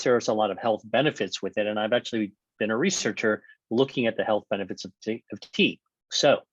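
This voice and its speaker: Male webinar host, mid pitch, halting delivery, dry dull video-call sound. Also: no room sound. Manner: halting, repeats words and uses fillers. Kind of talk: conversational interview.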